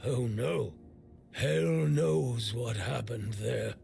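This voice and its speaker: Gruff, weary blacksmith, heavily gravelly. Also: male voice, mid-range voice, extremely slow, a little mellow, heavily acted. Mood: bitter, dismissive. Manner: blunt, grumbling, weary. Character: gruff, bitter male blacksmith, worried for a stricken young man. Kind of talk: video game dialogue.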